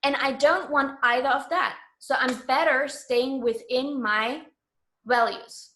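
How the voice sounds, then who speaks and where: Emphatic woman with a high-pitched voice. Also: measured pace, sharp tone, slight background noise. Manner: emphatic, self-assured, informal. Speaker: interview guest, entrepreneur. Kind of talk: casual conversational interview.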